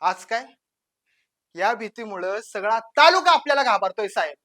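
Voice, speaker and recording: A woman playing a brazen, menacing village gangster in a dramatic monologue, with a rural western Maharashtra accent and a taunting, swaggering manner that swings between hushed and loud. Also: mid-range voice, expressive, moderate pace. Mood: menacing, boastful. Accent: rural western Maharashtra Marathi.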